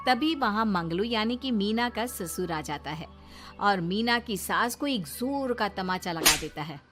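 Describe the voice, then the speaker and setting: A woman's voice: medium pitch, animated, measured pace, fairly bright tone. A woman reading scripted narration for a family moral story. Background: music.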